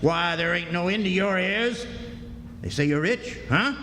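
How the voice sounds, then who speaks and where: A man's voice: high voice, expressive, a little slow, bright, forceful tone, exaggerated voice. An actor playing a sneering, greedy old backwoods father who scolds in a folksy rustic drawl, in live radio drama style. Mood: mocking. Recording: echoey hall, live stage, hissy background.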